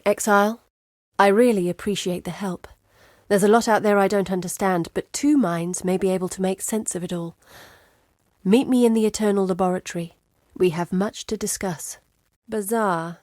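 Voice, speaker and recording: Casting: actress as inquisitive young female scholar-explorer for a video game; earnest, measured, mid pitch, close dry recording. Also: darkish tone, no echo, natural voice. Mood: urgent, intrigued. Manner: articulate, measured, thoughtful. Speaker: game character, scholar and map researcher. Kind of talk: scripted video game dialogue.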